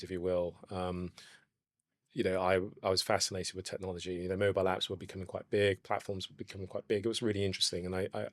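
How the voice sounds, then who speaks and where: A man in his forties, born in Ghana, with a low, even delivery. He talks reflectively on a close studio mic and leans on "you know" and "um" between thoughts. The sound is dry, with no room around it. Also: no room sound. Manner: hesitant, many fillers and "you know". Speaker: brand co-founder, guest. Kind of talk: reflective casual conversation.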